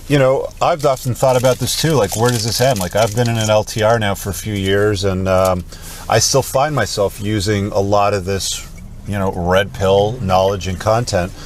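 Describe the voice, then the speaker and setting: A man in his thirties hosting his channel, talking to his members in a relaxed, rambling way with many fillers. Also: fairly low pitch, slight background noise. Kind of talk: casual monologue to camera.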